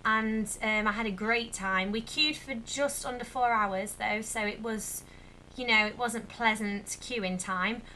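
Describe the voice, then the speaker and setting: Chatty young woman, bright voice. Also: on the high side, measured pace, slight background noise, rough recording. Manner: chatty, rambling with many fillers. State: slightly croaky. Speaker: vlogger. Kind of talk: casual chat to camera.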